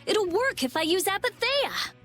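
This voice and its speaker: A woman voicing a brainy, blunt, excitable teenage girl inventor in a video game. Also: very high-pitched, moderate pace, soft background music, exaggerated voice. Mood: excited.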